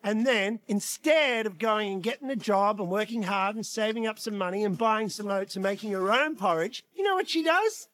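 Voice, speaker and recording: An actor playing a playful cartoon dad with an Australian accent, talking and telling stories in a colloquial, comic way. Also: male voice, high voice, normal speed, few pauses, fairly bright tone. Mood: wry storytelling. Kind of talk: animated, colloquial comic storytelling.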